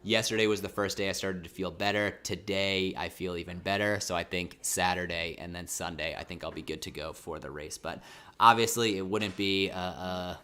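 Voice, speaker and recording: A man's voice: low-pitched, fairly even intonation, moderate pace, bright, forceful tone, smooth. A man hosting a casual livestream, chatting in a rambling, self-correcting way while getting over a mild cold with a slightly sore throat. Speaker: livestream host. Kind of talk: casual livestream chat with viewers.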